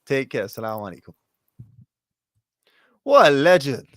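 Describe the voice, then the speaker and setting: A man's voice: medium pitch, huge pitch swings, normal speed, a bit bright, no room sound, studio quality. A man hosting a call-in show, giving casual religious advice in an informal, emphatic way peppered with Arabic phrases.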